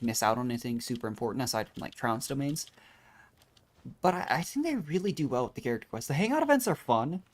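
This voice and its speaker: Very low-voiced woman streaming a game. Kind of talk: casual live game commentary.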